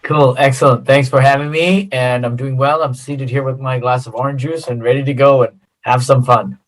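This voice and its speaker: A middle-aged man from the United States, a consultant guest answering interview questions in a relaxed, friendly way with many fillers. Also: mid-range voice, moderate pace, low-quality recording.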